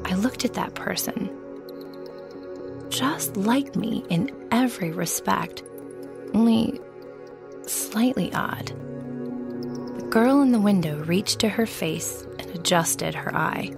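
Slow, creaky-voiced woman narrating dark fiction. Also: mid-range voice, music in the background. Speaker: story narrator. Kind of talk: scripted first-person dark fiction narration.

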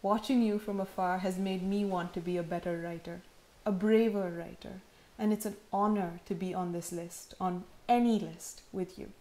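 A woman in her thirties, heavily pregnant, delivering a sincere, measured acceptance speech with gentle humor, recorded at home in her living room. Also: lowish voice, steady tone. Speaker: prize winner giving acceptance speech. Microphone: camera in front, seated framing.

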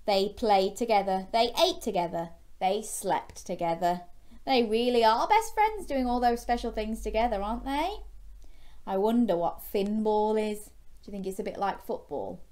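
A young woman reading a children's storybook in a gentle, expressive way, chatting with young listeners through questions. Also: medium pitch, normal speed. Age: young adult. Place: small bedroom, indoors.

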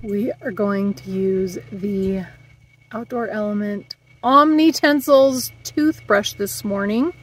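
A woman's voice: mid-range voice, slow, slight background noise, poor audio. A woman in her thirties reviewing camping gear in a casual vlog, musing aloud while she eats, recorded outdoors in a meadow.

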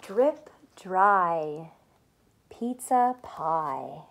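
Slow-paced young woman reading to children. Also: on the low side, gravelly. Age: young adult. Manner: asks simple questions, addressing young children; deliberate, expressive reading. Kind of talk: picture-book read-aloud with chatty asides. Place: small furnished room at home, indoors.

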